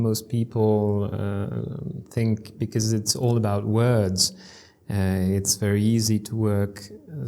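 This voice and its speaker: A man's voice: fairly low pitch, mellow. A man with a French accent, a theatre director chatting casually as an interview guest, hesitating and repeating words as he thinks. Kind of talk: casual interview chat in English.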